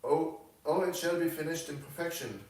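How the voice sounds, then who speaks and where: A man voicing a young Frenchwoman painter from a novel, coquettish, flattering and shrewd, with effusive, formal phrasing. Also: a little high, moderate pace, heavy reverb, distant mic, voice pushed up, close to an everyday voice, poor audio. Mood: eager, flattering.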